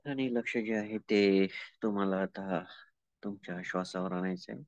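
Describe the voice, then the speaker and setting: A man with an Indian Marathi accent leading a guided meditation as a teacher, calm and unhurried, pausing between instructions. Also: fairly low pitch, no room sound. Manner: calm, unhurried, with long pauses and repeated instructions. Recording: dull lo-fi audio.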